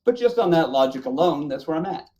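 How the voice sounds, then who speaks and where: A man's voice: fairly high pitch, animated, fast, dark tone, dull audio. A middle-aged man talking casually and enthusiastically about films as a podcast panelist. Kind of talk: casual chat about movies.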